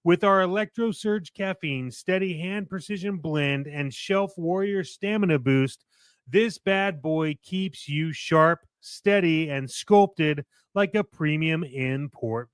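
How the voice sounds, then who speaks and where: A man's voice: fairly high pitch, takes it slowly, smooth, dry recording. A man hosting a radio-style podcast in a conversational, salesy way, moving between casual banter and upbeat sponsor reads on a close mic. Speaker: podcast and radio show host.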